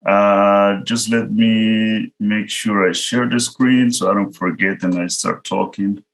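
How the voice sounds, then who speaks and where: Man, Central American Spanish accent, lowish voice, informal online career talk, conversational storytelling. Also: steady tone, slow pace, creaky. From El Salvador. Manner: conversational, addresses listeners directly. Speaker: guest speaker, product manager. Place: online virtual event.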